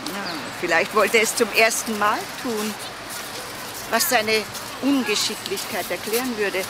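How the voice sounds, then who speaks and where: An actress as a genteel older lady in an old crime drama. She is formal, deliberate and politely insistent, in a mid-pitched voice. The soundtrack is very noisy, with outdoor ambience behind her. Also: close to an everyday voice.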